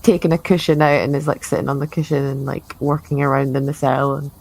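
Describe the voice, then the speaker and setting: A woman's voice: a bass voice, little pitch movement, fast talker, creaky, a little mellow, low-quality recording. A woman playing a tabletop game, casually describing her character's actions as she makes them up. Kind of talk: casual improvised roleplay narration.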